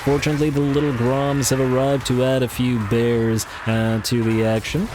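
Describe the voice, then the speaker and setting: A man casually commentating on a strategy game he is playing, chatty with lots of fillers, his voice a little raspy from coughing. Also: medium pitch, moderate pace, noisy background. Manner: relaxed, chatty, frequent fillers and repeated words. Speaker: let's play commentator.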